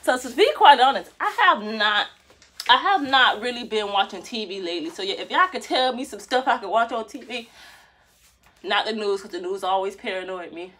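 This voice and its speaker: A woman's voice: medium pitch, animated, a little fast, sharp tone. Young woman, easygoing and playful, talking to viewers mid-stretch from the floor of a small room. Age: 20s. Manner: chatty, joking, lots of laughs and slang. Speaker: dance vlogger. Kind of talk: casual chat to camera while stretching. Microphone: phone camera on the floor.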